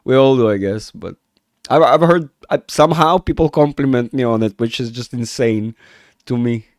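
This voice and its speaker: A man hosting a live market chat with viewers, rambling and restarting sentences, his voice rough from a cold. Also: mid-range voice, moderate pace, dry recording, studio quality. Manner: rambling, self-interrupting, reads chat aloud. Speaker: market analysis livestream host. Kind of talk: casual livestream chat with viewers.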